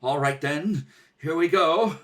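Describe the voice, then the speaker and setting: A man's voice: on the high side, slow, fairly bright tone, distant mic. A man playing a bold young hero in an old-time radio adventure serial, earnest and urgent. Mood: tense, urgent. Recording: roomy home recording, off the mic.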